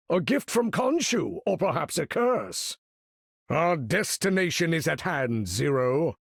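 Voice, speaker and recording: Game role: imperious older mutant leader, slow, gravelly, grandiose and formal. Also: male voice, fairly high pitch, dry recording, studio quality, full character voice. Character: proud, imperious older male mutant master of magnetism. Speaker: video game voice actor. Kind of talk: theatrical game character voice lines.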